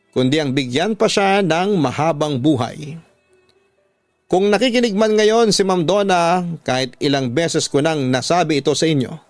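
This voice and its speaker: Man, Filipino accent, radio drama narrator, scripted storytelling, higher-pitched, dry studio. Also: no echo.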